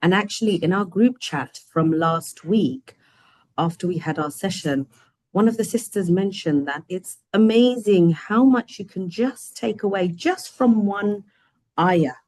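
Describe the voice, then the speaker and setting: A woman hosting and translating in an online study session, talking in a gentle, chatty way with many fillers, her voice a little croaky. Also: medium pitch, a little slow, no room sound. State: slightly croaky voice. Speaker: host. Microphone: video call.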